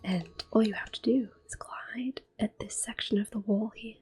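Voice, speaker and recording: A woman giving gentle, unhurried ASMR game commentary in a soft, breathy, reassuring voice. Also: mid-range voice, steady tone. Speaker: ASMR gameplay host.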